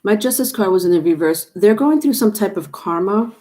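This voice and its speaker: A woman's voice: medium pitch, lively intonation, brisk, warm tone. A woman with a stuffed-up nose giving a live tarot reading on camera, rambling with many fillers and restarts. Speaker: tarot reader. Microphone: webcam or phone on camera.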